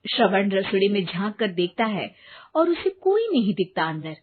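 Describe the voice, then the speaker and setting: A woman's voice: mid-range voice, very animated, normal speed, narrow, tinny audio. Woman storyteller narrating a scripted tale.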